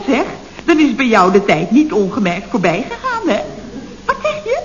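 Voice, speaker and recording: An actress playing a chatty, dryly witty female office secretary in a vintage radio comedy, with a theatrical, sarcastic delivery. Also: high-pitched. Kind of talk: 1970s radio comedy acting. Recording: muffled, noisy old radio recording.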